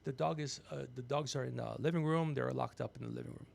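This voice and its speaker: A gregarious man hosting a podcast, telling rambling comedic stories with animated, teasing asides. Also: medium pitch, fast, gravelly. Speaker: podcast host. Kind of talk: rambling comedic storytelling on a livestream.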